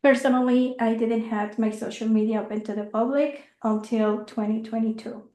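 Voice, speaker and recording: Woman, young, earnest, halting, high voice, echoey room. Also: fairly even intonation, mellow. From Mexico. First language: not English. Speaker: speaker. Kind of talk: informational talk. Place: meeting room.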